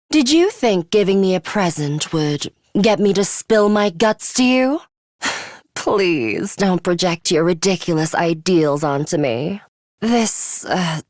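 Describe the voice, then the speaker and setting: A woman's voice: medium pitch, no room sound, clean studio sound. A woman voicing an aloof, scornful young female fighter with a sadistic streak in a video game, curt and condescending in manner. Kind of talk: English video game voice acting.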